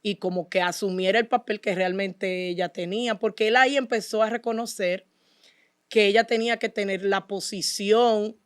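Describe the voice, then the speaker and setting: A woman's voice: medium pitch, fairly even intonation, normal speed, no echo, clean studio sound. A woman with a Dominican Spanish accent, speaking as an interview guest and telling her personal story in an earnest, devout way. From Dominican Republic. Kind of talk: personal testimony in conversation.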